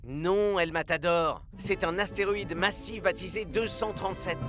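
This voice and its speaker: Eager, high-voiced young footballer. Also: male voice, measured pace. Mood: excited. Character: eager young male football player, space enthusiast. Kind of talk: animated cartoon dub acting. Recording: music behind the voice.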